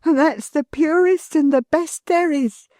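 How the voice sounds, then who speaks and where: A woman's voice: sits high, a little slow, darkish tone, dry recording, clean studio sound, pitched above the performer's own voice. A woman voicing a fond, devoted working-class wife and mother who speaks in a tender, earnest way.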